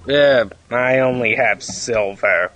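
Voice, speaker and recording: A man's voice: mid-range voice, a little slow, fairly bright tone. A man calling in to a gaming show over Skype, hesitant with short answers. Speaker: caller. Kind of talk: call-in chat.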